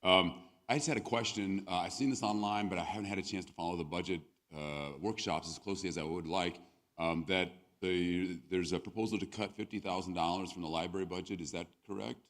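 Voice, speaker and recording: Hoarse, raspy man, deep and quick. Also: fairly even intonation, a bit bright. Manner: polite, apologetic, asks a direct question. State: hoarse, voice lower than usual. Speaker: public commenter. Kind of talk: public comment at a community meeting.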